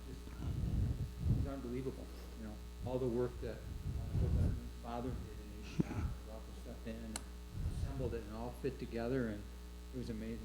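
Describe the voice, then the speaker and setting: A man's voice: medium pitch, little pitch movement, brisk, very noisy. A man speaking at a school board meeting, chatty and rambling with many "you know"s. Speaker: board member.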